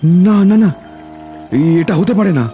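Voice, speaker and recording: A man playing a terrified, stammering villain in a horror cartoon, halting and panicked. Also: high voice, animated. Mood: terrified disbelief. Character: terrified male villain, stammering, cowering fish smuggler. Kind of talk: dramatic horror cartoon voice acting. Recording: loud music behind the voice.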